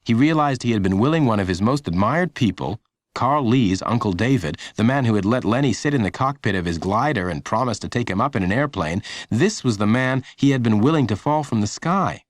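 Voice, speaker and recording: A man reading a children's audiobook as scripted narration, in a measured, dramatic storytelling manner. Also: mid-range voice, expressive, no room sound, studio quality.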